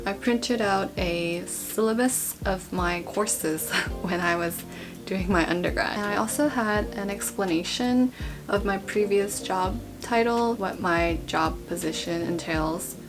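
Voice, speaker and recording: A woman's voice: mid-range voice, unhurried. A young woman talking casually and informally as a vlogger, in a chatty, rambling explainer style. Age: young adult. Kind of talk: casual informational vlog talk. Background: background guitar music.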